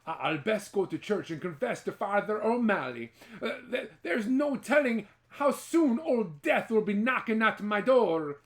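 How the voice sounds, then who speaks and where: A man's voice: high voice, sing-song, a little slow, hardly any pauses, fairly bright tone, pitched above the performer's own voice, exaggerated voice. A man voicing a lazy, self-pitying husband in a storybook folktale, whining and melodramatic. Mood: wailing, fearful. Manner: whiny, melodramatic.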